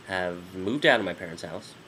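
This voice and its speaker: A man's voice: low voice, lively intonation, moderate pace, sharp tone, a little hiss or hum, poor audio. A man in his twenties, nasal from a sinus infection, giving a rambling casual vlog update full of ums and restarts. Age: 20s. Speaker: vlogger.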